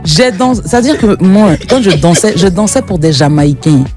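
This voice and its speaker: A woman's voice: on the low side, animated, normal speed, over music. A woman guest on a radio show, chatting casually, telling stories and laughing often.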